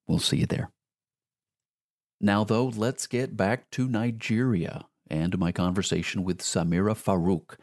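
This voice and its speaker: A very low-pitched man hosts an interview podcast. He speaks earnestly and leans on words for emphasis. His voice is close and dry, with no room sound. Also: a little mellow, no room sound. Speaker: podcast host.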